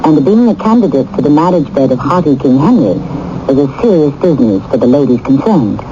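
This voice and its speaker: A muffled vintage radio broadcast of a male host. He narrates in a scripted, dramatic style, phrasing each thought with deliberate wryness. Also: a little high, very animated, noisy background. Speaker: host of a radio mystery series. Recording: dull old radio broadcast.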